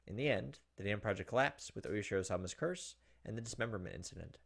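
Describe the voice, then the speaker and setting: Low-voiced man reading a game aloud. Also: expressive, fast, gravelly. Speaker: gaming video host reading a visual novel aloud. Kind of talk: narrated let's play, dramatic reading with casual asides. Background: soft game music.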